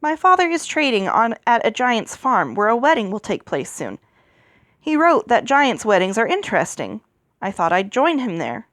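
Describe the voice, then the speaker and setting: A woman's voice: on the high side, big pitch swings, moderate pace, a bit bright, no room sound, studio quality. A woman voicing a spirited young maiden heroine in a storybook reading, with a direct, earnest manner. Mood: explaining.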